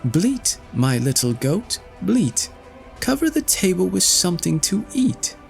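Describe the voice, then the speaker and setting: A man's voice: sits high, sing-song, a little slow, gravelly, soft background music, voice pushed up, exaggerated voice. A man doing a meek, tearful young girl. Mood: eager. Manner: plaintive, pleading.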